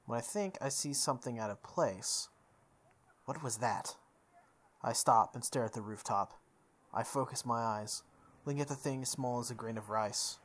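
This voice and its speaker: A young man reading a visual novel aloud as a let's play host, breaking into casual animated commentary. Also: medium pitch, normal speed.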